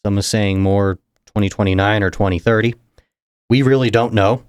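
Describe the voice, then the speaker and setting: A young man from New Hampshire, a political candidate, explaining things in a measured, casual interview manner. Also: on the low side, steady tone, clean tone, no echo, studio quality. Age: young adult. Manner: measured, explanatory, chuckles between points.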